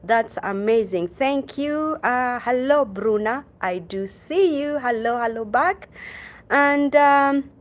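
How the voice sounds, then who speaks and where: Slow, halting woman from Kenya. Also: a little high, animated. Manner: slow, halting, many ums and uhs, greets viewers by name. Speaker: livestream host. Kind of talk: casual livestream storytelling.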